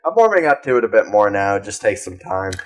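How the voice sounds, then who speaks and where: A man's voice: fairly low pitch, very animated, quick pace. A young man co-hosting a podcast, chatting casually in a laid-back, rambling way with lots of swearing. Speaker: podcast co-host. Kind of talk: casual chat.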